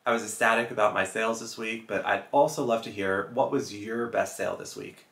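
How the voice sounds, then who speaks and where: A man's voice: lowish voice, fairly even intonation, a little fast, fairly bright tone, echoey room. A man in his thirties from Texas hosting a casual reselling vlog, chatting upbeat to the camera and reading out sales figures. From Houston, Texas. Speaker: reselling channel host. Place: small room indoors, plain wall. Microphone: fixed camera at chest-up framing.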